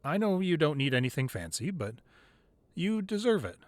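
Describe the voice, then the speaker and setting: Man acting as tender gangster boyfriend, animated intonation, dry close sound, soft intimate delivery, weary, protective, ASMR roleplay. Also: fairly high pitch, moderate pace, no echo. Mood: playful, flustered. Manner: soft, intimate, drifting into breathy whispers.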